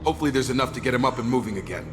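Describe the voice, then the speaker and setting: A man playing a steady, commanding soldier. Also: medium pitch, rapid-fire, over music, lightly acted. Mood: calm. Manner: level, commanding. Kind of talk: video game voice acting.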